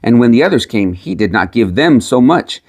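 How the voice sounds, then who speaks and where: A man's voice: medium pitch, lively intonation, moderate pace, darkish tone. A man reading a storybook tale aloud as scripted narration. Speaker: audiobook reader.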